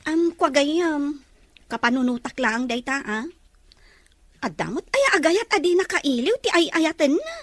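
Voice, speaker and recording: A woman's voice: sits high, animated, unhurried, a bit bright, dry recording. A woman voicing a chatty, teasing and hesitant teenage girl in an audio drama, with an Ilocano Filipino accent.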